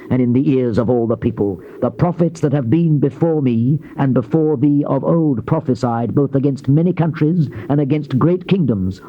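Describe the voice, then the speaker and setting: An actor playing a grave Old Testament prophet in a dramatized scripture reading, declaiming in measured, archaic phrases. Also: male voice, fairly high pitch, close to an everyday voice. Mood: solemn. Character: solemn male Old Testament prophet proclaiming divine judgment. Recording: dull, noisy old cassette tape.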